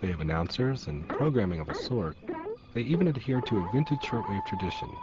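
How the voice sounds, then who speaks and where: A man's voice: mid-range voice, big pitch swings, a little fast, heavy background noise. A man reading scripted first-person narration for a radio documentary. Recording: dull, lo-fi radio, loud sound beds. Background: loud music and shortwave sounds.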